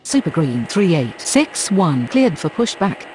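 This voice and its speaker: A woman's voice: on the low side, big pitch swings, measured pace, a little mellow, rough recording. A woman speaking clipped, scripted aviation radio phraseology in an air traffic control game.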